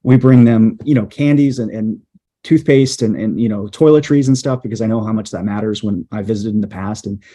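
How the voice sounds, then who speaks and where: Man with a low dark voice, chatty and animated, recounting a story off the cuff. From USA. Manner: animated, hesitant fillers, quickens at times. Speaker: storm chaser and journalist, guest. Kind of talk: casual interview storytelling.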